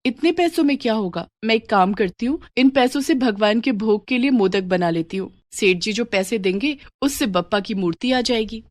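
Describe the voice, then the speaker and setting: Caring, gentle poor wife voiced by a woman. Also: a little high, expressive, normal speed, few pauses, no room sound, natural voice. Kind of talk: cartoon moral story dialogue.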